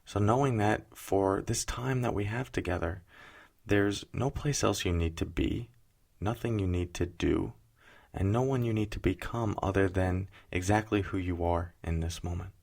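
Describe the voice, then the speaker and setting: A man with a notably deep voice leads a guided meditation. His delivery is soothing and unhurried, with gentle pauses, and a pronounced vocal fry runs through his speech.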